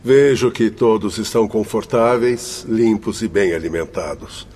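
A man's voice: on the low side, measured pace, a little mellow, soft background music, lightly acted. An actor playing a kindly, courteous male doctor, formal and reassuring, in a radio drama.

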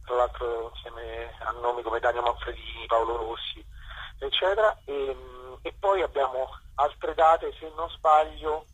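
A man's voice: medium pitch, steady tone, a little slow, a bit bright, slightly rough, muffled recording. A man speaking as a theatre guest in a casual phone interview, hesitant and often breaking off mid-word. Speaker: guest theatre director and actor promoting a show.

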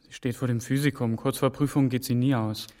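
A man's voice: mid-range voice, steady tone, fairly quick, dark tone, dry recording, clean studio sound, lightly acted. An actor playing a hunted man who flees pursuers at night. He speaks in tense, breathless whispers in a German radio drama.

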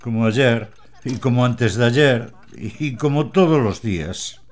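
An actor playing a patient older working-class husband who drives for his masters, speaking softly in a radio drama. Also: mid-range voice, lively intonation, takes it slowly. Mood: gentle. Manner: gentle, soft-spoken.

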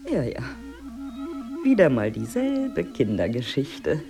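Bitter wife, very low female voice, lively intonation, dry sarcasm, radio drama, music underneath. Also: slow pace, heavily acted. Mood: bitter, sarcastic. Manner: dry, pointed, sarcastic questioning. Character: jilted wife, sardonic, bitter, middle-aged. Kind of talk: German radio drama acting.

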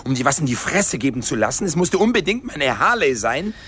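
An actor playing an aggrieved young gang leader in a radio drama, a bully with a confrontational way of talking. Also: male voice, on the high side, very animated, a little fast, a bit bright, husky, exaggerated voice. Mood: menacing anger. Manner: threatening, confrontational.

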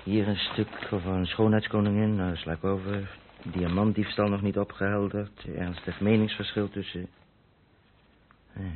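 Casting: haulage boss, low gravelly voice, Netherlands Dutch accent, muttering to himself; muffled, hissy old radio drama recording. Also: male voice. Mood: musing. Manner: thinking aloud, muttering. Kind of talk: Dutch radio play acting.

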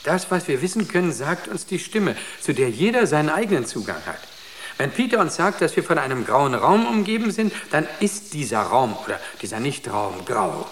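Fanatical artist genius, highish voice swinging up and down, lofty declaiming, radio drama. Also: male voice, very animated, normal speed, fairly bright tone, a little hiss or hum. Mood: devout. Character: serenely self-assured male artist, fanatical self-declared genius.

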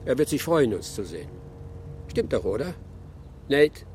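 An actor playing a calm, sly, unflappable rural man in an audio drama, dryly polite and teasing. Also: medium pitch, a little slow, a little hiss or hum. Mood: slyly polite.

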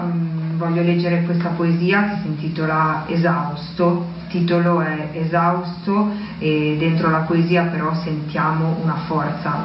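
A man giving a reflective spoken tribute and reading poetry, halting and deliberate, with pauses. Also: fairly high pitch. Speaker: poetry reader introducing poems at a tribute recital. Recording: roomy, distant, dull with faint noise.

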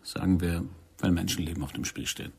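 An actor playing an intense, controlling secret agent in a radio drama, with a husky voice and an insistent, probing, theatrical manner. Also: male voice, sits low, steady tone, fast, a little mellow, full character voice. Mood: cool, controlled.

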